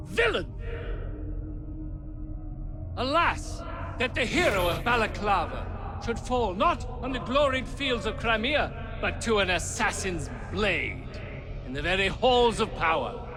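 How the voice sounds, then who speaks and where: A pompous British lord and army officer, pitched very high and swooping grandly. He declaims slowly and melodramatically, like a wounded hero of a game cutscene making his final speech. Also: sing-song, music in the background, full character voice. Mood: grandiose outrage. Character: pompous, wounded aristocratic old army officer, theatrically dying. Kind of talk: theatrical video game acting.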